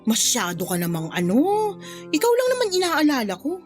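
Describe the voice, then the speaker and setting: A middle-aged Filipino auntie in a radio drama, with a highish voice that swoops freely. She is blunt, brazen and defensive, and speaks over a light music bed. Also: wildly swooping pitch, moderate pace. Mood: brazen persuading. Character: tactless, sharp-tongued, defensive middle-aged aunt. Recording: music behind the voice.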